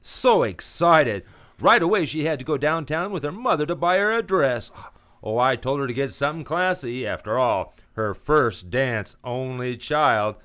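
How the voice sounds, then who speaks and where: Doting, hearty pal and father for vintage radio comedy, male actor; very animated, mid-range voice. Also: mid-range voice, moderate pace, natural voice. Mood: gushing, proud. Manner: effusive, hearty. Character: chummy, proud doting father, a foreman pal. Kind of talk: old-time radio comedy acting.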